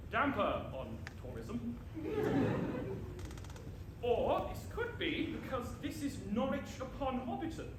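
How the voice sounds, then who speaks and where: A man playing a jovial, theatrical old wizard narrating a comic tale on a pantomime stage, drawing out his words and exclaiming. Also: on the high side. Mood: delighted, chatty. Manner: hammy, exclaiming, drawn-out storytelling. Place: live stage before an audience. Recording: very echoey, noisy live theatre recording.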